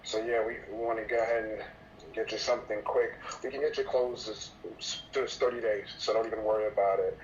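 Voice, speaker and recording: A man from New York practicing a sales call as a real estate agent, polite and a bit halting. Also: on the low side, fairly even intonation. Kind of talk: role-play sales call. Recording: dull muffled sound with faint noise.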